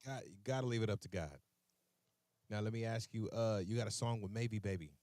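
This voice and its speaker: Male host for casual music interview: quick delivery, lowish voice, informal and slangy, repeats himself. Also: darkish tone, dry recording.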